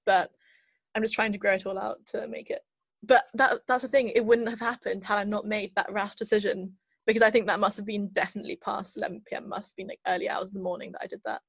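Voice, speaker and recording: A woman in her twenties co-hosting a podcast, telling stories in a soft-spoken, earnest way. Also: medium pitch, slightly rough, no room sound. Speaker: podcast co-host. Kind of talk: casual chat.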